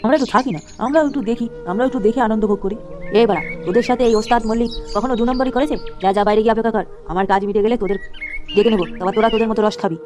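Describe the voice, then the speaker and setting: Woman playing a male gang-boss heavy, quick, sneering and crude, over loud music. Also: on the high side, close to an everyday voice. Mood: gloating. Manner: gloating, mocking, crude. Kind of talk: homemade cartoon voice acting.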